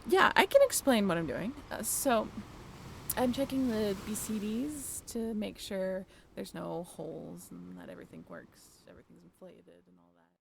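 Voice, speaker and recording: A woman's voice: mid-range voice, huge pitch swings, normal speed, gravelly, a little hiss or hum. A woman playing a friendly, flustered young former college roommate in a romantic audio roleplay, talking in a bashful, hesitant, giggly and apologetic way. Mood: shy, warm. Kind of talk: romantic audio roleplay, naturalistic one-sided conversation.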